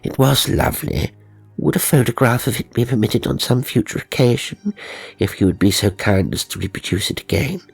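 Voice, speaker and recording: A man's voice: mid-range voice, normal speed, gravelly, breathy edge, no echo, soft background music, pitched above the performer's own voice. Guy doing wheedling fake lady medium, raised voice, gushy and coaxing, ghost story audiobook. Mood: gushing. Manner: gushing, flattering, coaxing. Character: wheedling, fraudulent female spirit medium.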